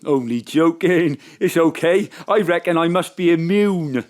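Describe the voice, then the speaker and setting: A man's voice: fairly high pitch, measured pace, natural voice. A man playing a gullible, tipsy pub drinker in a comic audio drama, slurring and befuddled. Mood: tipsy, rambling.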